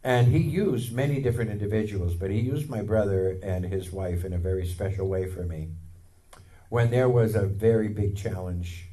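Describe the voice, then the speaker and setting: A man in his fifties giving a sermon testimony, telling a personal story and acting out the words of others with rising excitement. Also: on the low side, normal speed. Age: 50s.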